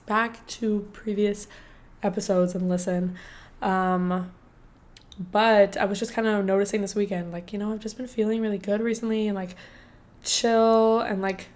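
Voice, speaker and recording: A young woman in her twenties hosting a casual solo podcast, chatting in a bubbly way with lots of "like" and "um", her voice hoarse and a little congested. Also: medium pitch. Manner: chatty and bubbly, many "like" and "um" fillers. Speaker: podcast host.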